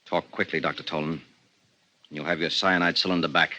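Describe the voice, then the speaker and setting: Forceful, clipped, suspicious radio-drama husband. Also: male voice, very deep voice, level tone, brisk. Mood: cold menace. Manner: clipped, commanding. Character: hard, suspicious husband, a menacing interrogator. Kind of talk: 1950s radio drama acting. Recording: dull old 1950s radio recording.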